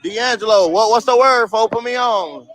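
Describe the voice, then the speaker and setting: A man's voice: high-pitched, moderate pace, a bit bright, rough recording. A young man livestreaming from a city street, chatting loosely with his viewers in heavy slang and swearing, reading comments aloud and laughing at them.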